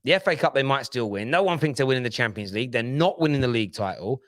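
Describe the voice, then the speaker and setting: A man's voice: mid-range voice, animated, quick pace, no room sound. A man presenting a football panel show in a studio, talking casually and insistently as he holds the floor.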